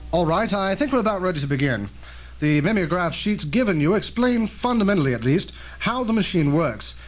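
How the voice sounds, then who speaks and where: A man's voice: high-pitched, expressive, fairly quick. A man playing an earnest, cautious scientist in an old-fashioned radio drama, speaking in a formal, precise way. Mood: explaining. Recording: noisy old tape with hum.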